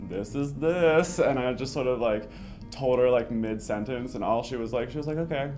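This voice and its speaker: A man gives a casual personal testimony. His voice is of medium pitch. Guitar music plays clearly behind him. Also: normal speed, darkish tone.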